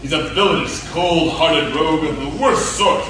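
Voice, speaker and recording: An actor playing an excitable, pompous gentleman in comic radio theatre, effusive and melodramatic. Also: fairly high pitch, moderate pace, lightly acted. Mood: indignant. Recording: distant, echoey hall with clear noise.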